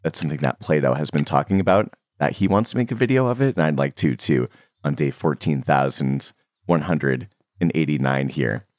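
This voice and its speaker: A young man with a remarkably deep, low voice talks to his viewers about his daily habits. He rambles easily, drifting through fillers and small laughs as he goes. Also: brisk. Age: young adult. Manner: relaxed, rambling, many fillers and soft laughs. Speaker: Minecraft content creator. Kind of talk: casual talk to viewers.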